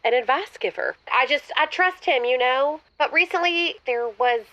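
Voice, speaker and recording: Muffled, high-voiced hesitant woman caller. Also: normal speed, fairly bright tone. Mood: coy, hesitant. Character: hesitant woman phoning a radio advice show about her boyfriend. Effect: phone line filter. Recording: muffled, narrow call-in phone line.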